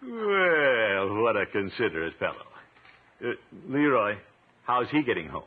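A man's voice: lowish voice, very animated, measured pace. A man playing a blustery, exasperated middle-aged uncle in a 1950s radio sitcom. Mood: won over, amused. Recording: noisy, tinny 1950s radio recording.

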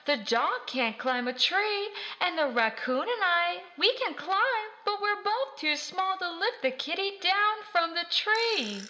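Woman doing a high-voiced earnest little skunk. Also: animated, slow pace, hardly any pauses, bright, forceful tone, exaggerated voice. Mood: fretful. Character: small earnest skunk, fretful yet firm. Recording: music behind the voice.

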